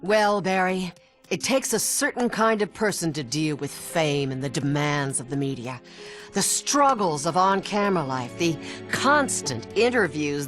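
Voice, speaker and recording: A woman voicing a vain, self-important bee talk-show host in an animated game, with a polished delivery. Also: on the low side, natural voice. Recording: clear music behind the voice.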